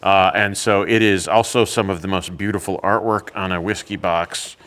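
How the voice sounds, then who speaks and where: A man who teaches about whiskey, explaining things in a relaxed, casual way with lots of fillers. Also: sits low, normal speed. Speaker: whiskey educator. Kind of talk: casual chat. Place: indoors at a teaching academy.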